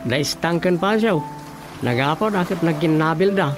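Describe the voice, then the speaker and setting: A man's voice: fairly high pitch, animated, moderate pace, over music, lightly acted. A man acting a concerned relative in a radio drama, conversational and explanatory. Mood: concerned, confiding.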